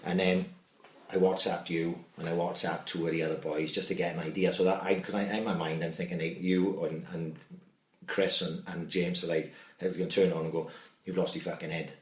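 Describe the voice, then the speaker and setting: Man, middle-aged, quick sweary rambling chat, recorded far off in an echoey room. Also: low voice, little pitch movement. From Wales. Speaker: podcast host. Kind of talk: casual chat. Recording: echoey room, distant mic.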